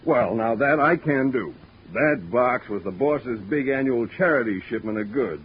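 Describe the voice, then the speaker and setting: A man's voice: mid-range voice, expressive, normal speed, breathy edge. An American actor playing a fussy, soothing, long-winded shipping foreman in a comic 1940s radio drama, dithering and drawing out his answers. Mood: placating, dithering. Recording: dull vintage 1940s radio broadcast.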